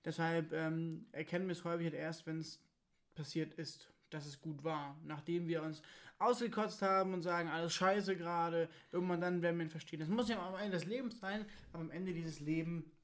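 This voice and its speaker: A woman's voice: fairly low pitch, fairly even intonation, brisk. A woman talking casually and frankly as co-host in a question card game. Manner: informal, colloquial, opinionated. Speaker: co-host of a faith podcast.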